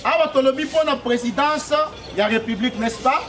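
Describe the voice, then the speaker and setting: A man's voice: extremely high pitch, moderate pace, fairly bright tone. A man from Congo giving an explanatory public address at an outdoor political meeting, checking that the crowd follows and leading chants. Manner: explanatory, repeatedly checks the crowd understands, leads call-and-response chants. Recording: distant mic, crowd heard behind. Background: crowd responding.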